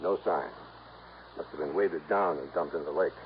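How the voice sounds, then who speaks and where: An American man playing an earnest, businesslike insurance man in a 1950s radio drama, brisk and matter-of-fact with a folksy touch. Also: rumbling low. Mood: grave, explanatory. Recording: muffled, faintly noisy old radio recording.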